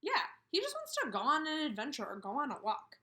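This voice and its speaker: A woman in her thirties teaching and reading aloud to kindergarteners, expressive, with questions and emphatic pauses. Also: high voice. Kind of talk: instructional read-aloud for young children. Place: indoors, room with a brick wall.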